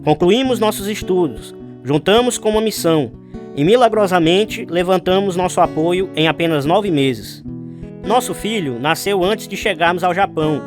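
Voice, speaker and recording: Earnest missionary husband male actor, Brazilian accent, slightly high voice, sincere radio-testimony storytelling over clear music. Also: lightly acted. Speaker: narrator and lead character. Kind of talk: dramatized radio testimony, scripted narration and dialogue.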